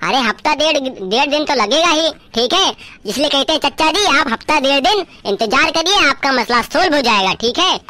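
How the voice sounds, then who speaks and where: Man doing a bright, high-pitched bossy cartoon cop. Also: animated, moderate pace, exaggerated voice. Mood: bossy, reassuring. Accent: eastern Hindi belt, rural Uttar Pradesh. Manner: scolding, self-important, swearing. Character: bossy, bribe-taking male village police sub-inspector. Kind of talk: comedy cartoon dialogue.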